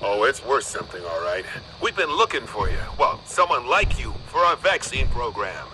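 An actor playing an anxious, eager government doctor in a video game, urgent and halting. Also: male voice, medium pitch, sing-song, music in the background, close to an everyday voice, low-quality recording. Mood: amazed. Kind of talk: video game cutscene acting.

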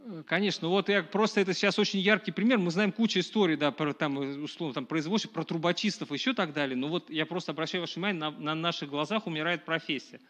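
Middle-aged guy lecturing a small room, slightly high voice, lots of um and restarts, caught from a far camera. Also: quick pace, a bit bright. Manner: conversational, many fillers and restarts, talks to the audience. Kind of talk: public lecture to a live audience. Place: small dark lecture room with a projection screen.

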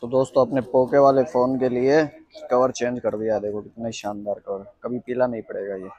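A man in his thirties from Rajasthan, vlogging in a lively, chatty way as he walks through an outdoor street market, quoting prices and haggling with vendors, recorded on a handheld camera at arm's length. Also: mid-range voice, a little fast, dry recording. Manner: lively, chatty, keeps saying "so friends", quotes prices and bargains with vendors. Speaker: travel vlogger. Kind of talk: casual vlog chat while walking a street market.

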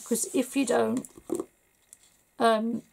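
A middle-aged woman from England, slightly hoarse, chatting her way through a papercraft tutorial at her desk with lots of fillers. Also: fairly high pitch, level tone, takes it slowly, a little mellow, rough recording. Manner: chatty, rambling, full of fillers. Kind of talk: casual crafting tutorial.

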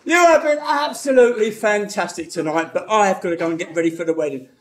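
A man playing a jolly, exuberant pantomime entertainer who coaxes a crowd into singalongs in a shouty stage manner. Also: sits high, quick pace, slight background noise, lightly acted. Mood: cheery farewell. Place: theatre or hall stage, live performance. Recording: echoey hall stage recording with music.

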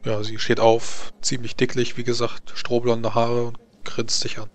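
A man's voice: mid-range voice, completely flat delivery, a little slow. Guy running a tabletop rpg, describing scenes and characters as game master. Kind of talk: improvised tabletop roleplay narration.

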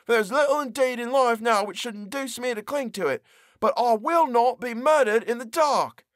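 A man voicing a desperate young prisoner who pleads in a high, strained voice. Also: moderate pace, no room sound, studio quality. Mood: defiant.

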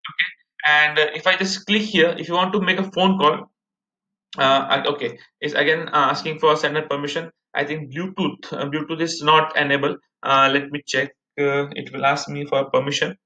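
A man's voice: a little high, level tone, normal speed, sharp tone. A man in his thirties with an Indian accent, presenting a casual step-by-step screen-share tutorial in a repetitive way. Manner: repetitive, step-by-step explaining, addressing viewers as guys. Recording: muffled, echoey room recording.

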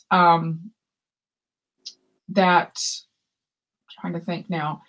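Warm-voiced woman reading viewer comments. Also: lowish voice, low-quality recording. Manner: reads viewer comments aloud, chatty and reassuring. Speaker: yarn shop co-host. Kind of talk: casual livestream chat. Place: room with shelves of yarn behind. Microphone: webcam video call.

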